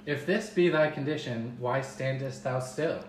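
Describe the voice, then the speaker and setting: A woman voicing a stern, calm male guide who gives grave instructions in old-fashioned speech. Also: extremely low pitch, measured pace, pitched below the performer's own voice. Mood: solemn. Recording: roomy with faint hiss.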